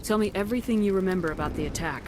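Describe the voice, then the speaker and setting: An actress playing a firm space soldier in a video game, speaking directly and with authority. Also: female voice, medium pitch, rapid-fire, noisy background, close to an everyday voice. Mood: firm, questioning. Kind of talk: dramatic video game acting.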